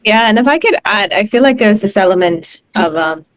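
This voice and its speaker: A woman's voice: medium pitch, lively intonation, brisk. A woman singer being interviewed, eagerly adding her own thought. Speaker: singer in a music group, interview guest. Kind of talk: interview answer.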